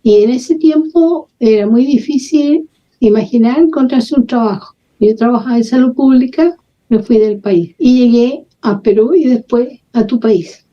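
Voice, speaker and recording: An older woman from Chile with a Chilean accent, telling her life story as an interview guest in a relaxed, wry way. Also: fairly high pitch, unhurried. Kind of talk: casual storytelling. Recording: dull lo-fi audio.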